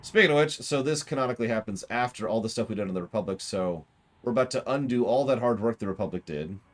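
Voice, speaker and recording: A man's voice: medium pitch, lively intonation, fast. A man doing casual live stream commentary on a game, explaining his ideas at length and thinking aloud. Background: game music.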